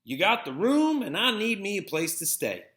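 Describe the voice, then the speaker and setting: A man's voice: sits high, very animated, normal speed, a bit bright, echoey room, pitched above the performer's own voice. A man voicing a sullen, stubborn, sarcastic country boy, complaining, in a storybook reading. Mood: pleading, indignant.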